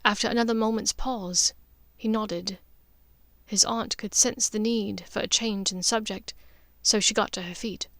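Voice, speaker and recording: A woman's voice: mid-range voice, fairly even intonation, a little slow, a bit bright, no room sound. A woman reading scripted fiction as an audiobook narrator.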